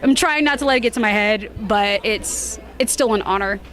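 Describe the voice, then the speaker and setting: A woman giving a casual interview as a cosplayer, with a bubbly, expressive way of talking. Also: medium pitch, normal speed. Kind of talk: casual interview chat at a convention.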